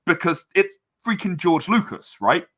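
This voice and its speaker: A man's voice: high voice, big pitch swings, measured pace, a bit bright. A man chatting casually as an interview guest, hesitant and self-correcting, earnest and polite. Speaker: interview guest, game publisher and audio drama creator.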